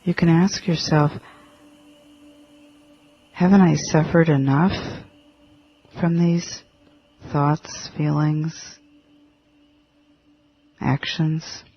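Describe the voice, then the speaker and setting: A man leading a guided meditation in a gentle, halting manner, leaving long pauses between short phrases. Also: fairly high pitch, fairly even intonation, breathy edge. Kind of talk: guided meditation, spoken instructions. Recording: dull lo-fi audio with faint music.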